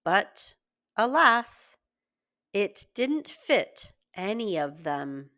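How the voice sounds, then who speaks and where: A woman reading a scripted story aloud for young children in a deliberate, expressive way. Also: mid-range voice. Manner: slow, deliberate, expressive delivery. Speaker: children's story reader and teacher.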